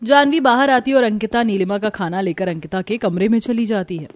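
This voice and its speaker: A woman's voice: medium pitch, fairly quick, dry recording, faint music behind. A woman narrating a scripted moral story.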